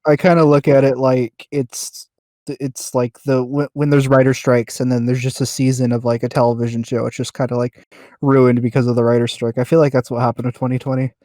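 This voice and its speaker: Podcast voice for informal chat, mid pitch, dry close recording, slightly halting. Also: level tone, dark tone, no echo. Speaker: podcast speaker asking questions.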